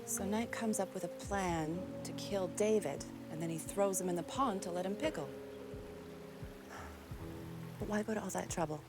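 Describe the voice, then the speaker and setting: A woman playing a sharp-minded, matter-of-fact private detective in a 1920s period TV drama. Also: medium pitch, a little fast, lightly acted. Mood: brisk, deducing. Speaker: lead actress in a TV detective series. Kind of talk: naturalistic TV period drama acting. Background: background music.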